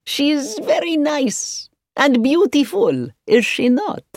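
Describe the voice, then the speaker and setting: A woman's voice: mid-range voice, big pitch swings, a little slow, darkish tone, no room sound, studio quality, voice pushed up. A woman voicing an exuberant, hospitable foreign hotelkeeper in a storybook reading, effusive and emphatic. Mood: jovial, effusive.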